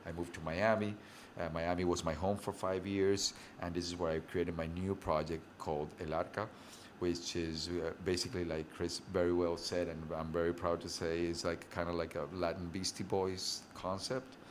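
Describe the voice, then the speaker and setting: A man's voice: deep voice, little pitch movement, normal speed, slight background noise. A man in his forties from Venezuela, a guest telling his life story in long, run-on stretches full of restarts. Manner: talks in long run-on stories with many restarts. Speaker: interview guest, DJ and rapper. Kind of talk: rambling personal storytelling.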